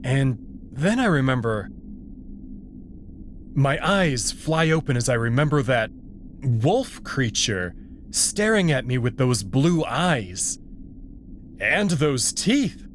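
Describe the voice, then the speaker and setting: A man reads dramatic first-person fiction at an unhurried pace. His pitch moves expressively through the narration. There is faint noise behind the voice.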